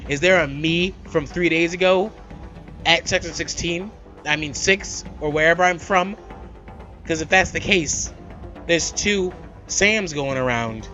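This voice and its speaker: A man's voice: a little high, highs cut off. Guy doing a lets play, reads the story text then chats casually, game music going behind him. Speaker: let's play host. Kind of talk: reading visual novel aloud with casual commentary. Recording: loud background music.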